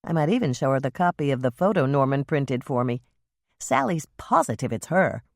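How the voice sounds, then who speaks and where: A woman reading an audiobook and voicing a determined woman sleuth who talks calmly, firmly and wryly. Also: rumbling low, fairly quick, no room sound, clean studio sound, heavily acted.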